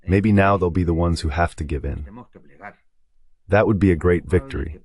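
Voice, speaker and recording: A man's voice: sits low, normal speed, darkish tone. A man speaking as a guest analyst, in a translated voice-over of a live discussion on world affairs.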